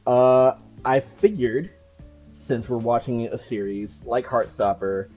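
A person in their late twenties chatting casually to camera in a vlog, relaxed and full of fillers and soft laughs, on a headset mic in a small room. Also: medium pitch, slow, faint music behind. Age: late 20s. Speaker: video creator. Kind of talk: casual talking-head vlog. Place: small cluttered room indoors.

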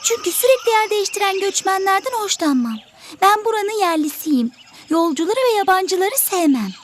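A woman's voice: very high voice, animated, moderate pace, a little hiss or hum. A woman voicing a selfish, grumpy bird in an educational cartoon, speaking in a haughty, brusque, scolding manner. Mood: irritated, dismissive.

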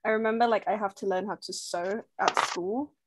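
A woman's voice: medium pitch, brisk, very noisy. A young woman from Thailand, a native Thai speaker, casually sharing a childhood memory on a video call. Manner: chatty, animated, laughing easily. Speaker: game participant. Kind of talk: casual personal storytelling.

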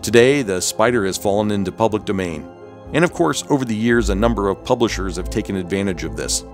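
A man's voice: fairly low pitch, lively intonation, fast talker, faint music behind. A man hosting and narrating a scripted documentary-style video.

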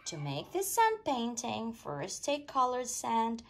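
Woman doing storytime for little kids, kinda husky voice, sing-song and really up and down. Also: on the high side, wildly swooping pitch, takes it slowly. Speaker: storytime reader. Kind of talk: read-aloud of a rhyming children's picture book.

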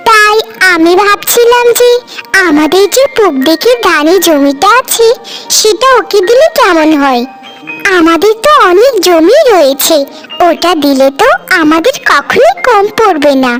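A woman playing a generous, considerate well-off village wife in a cartoon, speaking gently and persuasively. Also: extremely high pitch, lively intonation, unhurried, exaggerated voice. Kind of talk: cartoon voice acting in dramatic dialogue. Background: loud background music.

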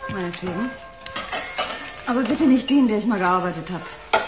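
An actress playing a weary, nagging wife in a radio crime drama, dry and snippy. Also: female voice, mid-range voice, animated, moderate pace, over loud music, close to an everyday voice. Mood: annoyed, dry. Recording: roomy echo, clattering dishes.

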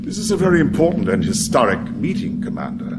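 An actor playing a shrewd, grave middle-aged military adviser in a game cutscene, speaking in a measured, formal way. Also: male voice, a little high, big pitch swings. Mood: grave, courteous. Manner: measured, formal, theatrical delivery. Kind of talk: dramatic live-action game cutscene acting. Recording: loud ambient hum behind voice.

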